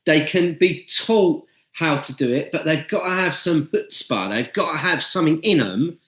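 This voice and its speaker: A man's voice: a little high, lively intonation, moderate pace, fairly bright tone. A man co-hosting a podcast, ranting bluntly and swearing freely in casual chat. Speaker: podcast co-host. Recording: echoey room.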